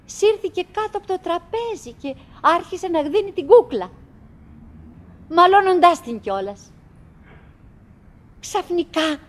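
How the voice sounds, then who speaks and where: A high-pitched, wistful woman in a radio play monologue. She chatters anxiously to hold on to her routine, halting and circling back on her phrases, and moves theatrically from murmur to cry. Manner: halting, repetitive, with dramatic swings from murmur to cry. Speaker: stage and radio actress.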